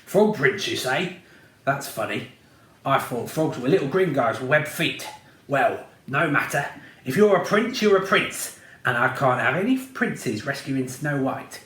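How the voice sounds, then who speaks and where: A man reading a storybook aloud, voicing an old, hard-of-hearing female witch with a croaking voice. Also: medium pitch, animated, unhurried, fairly bright tone, echoey room. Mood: crotchety. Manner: croaking, distracted.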